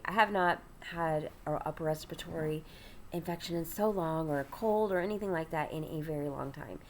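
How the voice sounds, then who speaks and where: Congested woman host, lower voice, rambling get-ready-with-me chat while doing makeup, faint hiss behind. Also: a bit bright. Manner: chatty, rambling, many ums and restarts. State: congested, stuffy nasal voice. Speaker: ministry host.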